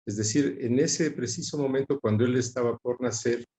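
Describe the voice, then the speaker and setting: A middle-aged man with a Quito Ecuadorian accent, reminiscing conversationally as an interview guest in a reflective, halting way. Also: mid-range voice, warm tone. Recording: roomy, echoing sound.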